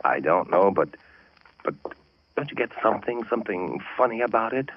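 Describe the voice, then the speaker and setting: Deep-voiced anxious young husband. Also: male voice, animated, no echo. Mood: uneasy, insistent. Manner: hesitant, halting, repeating words. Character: anxious young husband searching for his missing wife. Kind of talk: 1940s radio drama acting, part first-person narration. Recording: dull old radio recording with music.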